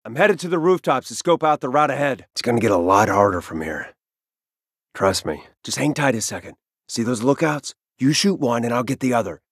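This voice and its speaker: A man's voice: medium pitch, fairly bright tone, slightly rough, no room sound, studio quality, full character voice. An actor voicing a cocky, wisecracking young male starfighter pilot in a video game, with urgent delivery and wry asides. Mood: hushed, focused. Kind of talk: video game battle and mission barks.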